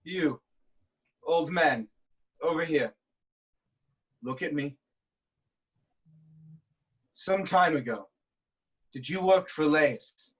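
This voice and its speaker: A woman playing a proud, stubborn king of ancient Thebes, declaiming deliberately in a theatrical reading. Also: low-pitched, slightly rough, exaggerated voice. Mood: commanding. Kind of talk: theatrical staged reading of Greek tragedy.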